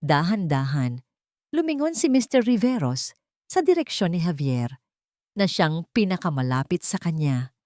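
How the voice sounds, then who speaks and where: A woman's voice: on the low side, sing-song, moderate pace, dark tone, no room sound, clean studio sound. A woman reading scripted dramatic narration of a romance story as a storyteller.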